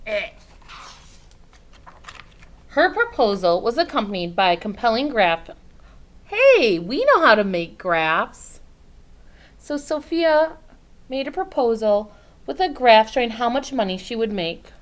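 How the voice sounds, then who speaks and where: A woman storyteller reads a picture book aloud for children with highly varied intonation. She takes it slowly and adds simple explanatory asides in an expressive manner.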